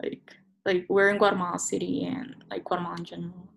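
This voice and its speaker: A woman's voice: fairly low pitch, little pitch movement, fast, creaky, low-quality recording. A teenage girl from Korea as a guest in a casual podcast chat, hesitant and full of "like" fillers. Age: teens.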